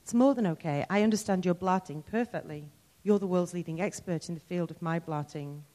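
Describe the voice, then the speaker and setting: A woman's voice: lowish voice, fairly quick, dark tone, big-room sound, natural voice. Sardonic ranting friend, read by a woman. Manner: wry, sardonic, mock-ranting.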